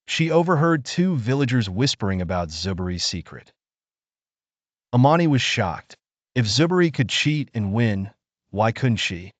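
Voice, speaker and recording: A man narrating a scripted folktale in a measured, expressive storytelling manner with deliberate phrasing. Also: lowish voice, no echo.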